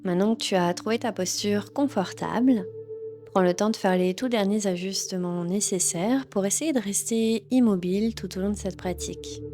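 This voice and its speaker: A woman teaching yoga nidra, leading a guided relaxation in a gentle, soothing, instructional manner. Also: on the low side. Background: background music.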